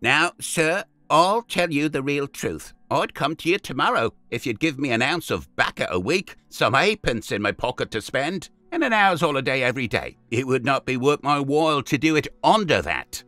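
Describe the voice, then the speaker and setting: A man's voice: mid-range voice, very animated, normal speed, few pauses, pushed and bright, no echo, faint music behind, pitched above the performer's own voice. A man voicing a bold young street boy who bargains with cocky assurance.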